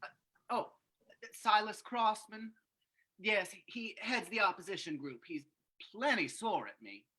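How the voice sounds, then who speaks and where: A woman's voice: medium pitch, lively intonation, a little slow, pushed and bright, slightly rough, full character voice. A woman playing a nervous, eager townsman in a radio drama, polite and stammering, repeating words. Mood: anxious. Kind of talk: old-time radio drama acting.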